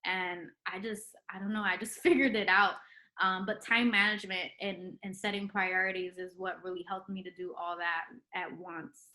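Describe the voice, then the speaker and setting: A woman's voice: fairly low pitch, steady tone, moderate pace, pushed and bright. A young woman, a retired nurse, chatting as an interview guest with lots of ums and likes. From Puerto Rican heritage. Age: young adult. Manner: chatty, many ums and likes, laughs often. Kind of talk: casual interview chat.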